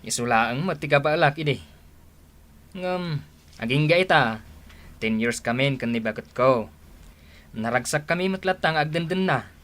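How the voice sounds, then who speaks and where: A man's voice: fairly high pitch, lively intonation, normal speed, pauses often, a bit bright. A man acting in an Ilocano radio drama as an admiring, thrifty married buddy, with an Ilocano Filipino accent. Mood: content.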